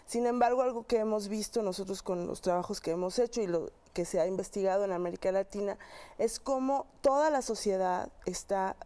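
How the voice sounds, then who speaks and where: A woman's voice: medium pitch, a little fast, warm tone. A woman with a Mexican accent answering as a research guest in an interview, explaining thoughtfully and often checking with short tag questions.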